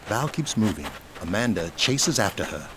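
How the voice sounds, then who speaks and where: A man's voice: on the low side, very animated, normal speed, background noise. A man reading scripted dramatic narration for an audio drama.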